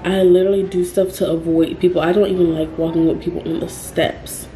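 A woman's voice: on the low side, little pitch movement, a little fast, mellow, echoey room, slight background noise, low-quality recording. A young woman vlogging in a casual, rambling way, with frequent ums and self-corrections. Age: young adult. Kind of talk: casual talk to camera.

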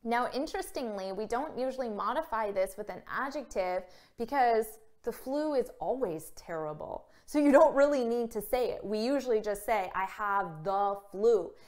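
A woman in her thirties giving a scripted English vocabulary lesson to camera, speaking clearly and carefully and acting out example phrases. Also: a little high, expressive, normal speed, few pauses, a little mellow. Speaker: English teacher. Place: small indoor room against a plain wall. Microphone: camera framed at chest height.

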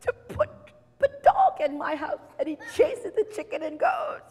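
A woman playing a man fed up with household noise in a children's story, with drawn-out complaining delivery. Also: on the high side, animated, voice pushed up, close to an everyday voice. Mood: frantic complaint. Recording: echoey hall, faint noise.

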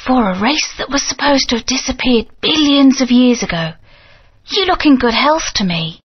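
A breathy determined heroine. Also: female voice, high-pitched, lively intonation, moderate pace. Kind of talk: game voice acting. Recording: dull lo-fi game audio.

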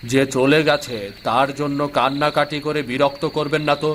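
A man's voice: on the high side, little pitch movement, normal speed, fairly bright tone, a little hiss or hum, close to an everyday voice. A man voicing a stern, brusque hospital doctor in a cartoon. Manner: brusque, commanding.

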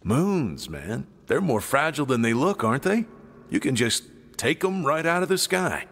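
Weary survivor for a game cutscene: very husky, mid-pitched, wry and wistful, talking as if to an old friend. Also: male voice, very animated, normal speed, a bit bright. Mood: tender, resigned. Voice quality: husky, rough-edged. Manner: conversational, musing aloud. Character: world-weary male survivor, wry, wistful, longing for freedom. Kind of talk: video game cutscene acting.

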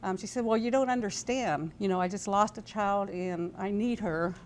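Halting older woman telling her story, faint noise. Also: mid-range voice, darkish tone. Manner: halting, conversational, voices quoted dialogue. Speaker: interview guest. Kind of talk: personal storytelling in an interview. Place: indoor TV studio-style set at a convention. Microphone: lapel mic. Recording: background noise and room ambience.

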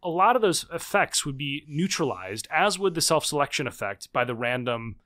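A man in his thirties, a book author, explaining his ideas in a podcast interview with lively restarts. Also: a little high. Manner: animated, fast explaining with restarts. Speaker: guest author.

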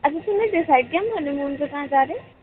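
A clearly high, pouty young bride-to-be, played by a woman, chats on a tinny phone line with faint noise. She is casual but insistent, as in an intimate call drama. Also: fast talker, lightly acted. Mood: matter-of-fact.

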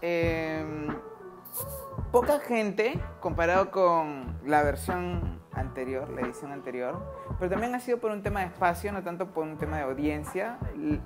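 A middle-aged man hosting a casual design news vlog from his studio, announcing each section with a lift. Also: on the high side. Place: studio room with chalkboard wall and desks. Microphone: earphone headset mic.

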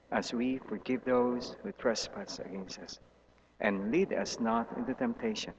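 A middle-aged man, a pastor, leads a congregational prayer in a measured, reverent manner with pauses between short phrases. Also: fairly low pitch. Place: large church sanctuary, indoors. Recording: muffled sound in reverberant church hall.

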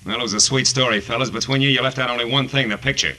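An American actor playing a sardonic, hard-boiled private detective in 1940s radio noir, with clipped, wisecracking delivery. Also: male voice, medium pitch, steady tone, very fast, bright, forceful tone, lightly acted. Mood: commanding. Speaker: lead actor and narrator. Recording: noisy vintage 1940s radio recording.

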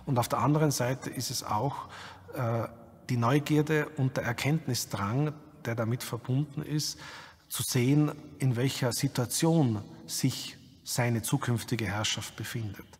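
A man's voice: mid-range voice, slightly rough. A man giving an explanatory interview as a history expert, recorded in a reverberant hall.

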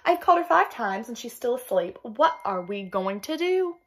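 A woman voicing a gentle, worried storybook mother in a plaintive, expressive way. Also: a little high, normal speed, slightly rough. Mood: resigned.

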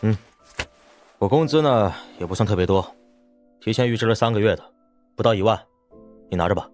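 A man's voice: fairly low pitch, fairly quick, darkish tone, soft background music, close to an everyday voice. A man voicing an arrogant, hot-tempered military officer in a Chinese audiobook, curt and blunt in manner. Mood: flat, blunt.

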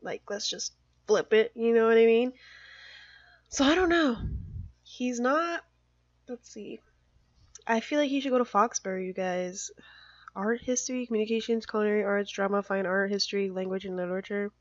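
A woman's voice: mid-range voice, moderate pace, long pauses, no echo, dull audio. A young woman, a mother, chatting casually through a let's play, filling her talk with "like" and little giggles. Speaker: gaming YouTuber.

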